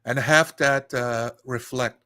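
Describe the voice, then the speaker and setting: A man chatting casually on a live stream, rambling and thinking aloud in a halting way. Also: mid-range voice, fairly even intonation, sharp tone.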